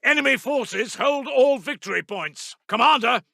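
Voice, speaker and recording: A man's voice: very high voice, animated, normal speed, vocal fry, sharp tone, no room sound, clean studio sound, full character voice. A man playing a formal British army intelligence officer in a video game, giving clipped, emphatic reports to his commander with a British accent. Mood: alarmed, tense. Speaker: military intelligence announcer. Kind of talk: scripted video game unit announcements.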